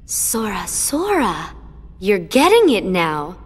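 A woman's voice: mid-range voice, huge pitch swings, takes it slowly, husky, big-room sound, heavily acted. An actress voicing a sadistic young female villain in a game, taunting with a mocking sing-song manner. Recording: game cutscene with loud music.

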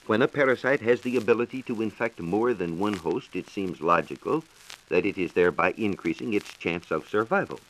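A hissy 1940s transcription disc carries an American man with a fairly low voice. He lectures formally as a radio science reporter, with lively swings of pitch and declamatory phrasing. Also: a little fast, rough recording. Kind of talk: 1940s scripted educational radio talk. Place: radio studio.

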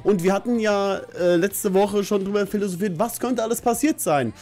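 A man's voice: sits high, moderate pace, a little mellow, faint music behind. A middle-aged man giving a casual, colloquial episode recap in a chatty, hesitant way, recorded at a desk microphone in a small home office. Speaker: host of an anime review channel. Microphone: desk condenser microphone on a stand.

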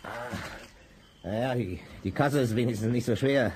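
A man's voice: medium pitch, normal speed, a little mellow, natural voice. A man playing a chatty, inquisitive worker lugging heavy loads in a German audio drama, effortful and a little distant on a noisy old recording. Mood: straining.

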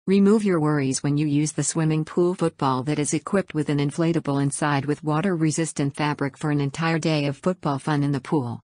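A woman's voice: deep voice, a little mellow, no echo, studio quality. A woman reading an upbeat, scripted promotional voice-over as a website host. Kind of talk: scripted product narration.

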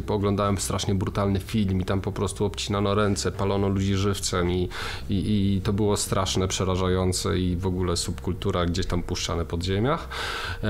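Low-voiced halting man, noisy recording. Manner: halting, often repeats and restarts words. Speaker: co-host of a film discussion show. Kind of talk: casual film discussion.

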